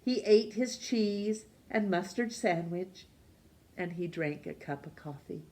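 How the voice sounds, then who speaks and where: A woman reading a children's picture book aloud for storytime, gently and expressively. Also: fairly low pitch. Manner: gentle, slow and expressive, voicing characters.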